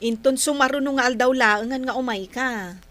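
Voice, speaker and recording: Casting: dutiful young mother, Ilocano accent, very high bright voice, eager and pleading, radio drama. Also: moderate pace, no room sound. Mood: cheerful, chatty. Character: eager, dutiful grown daughter with children. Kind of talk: Philippine radio drama acting.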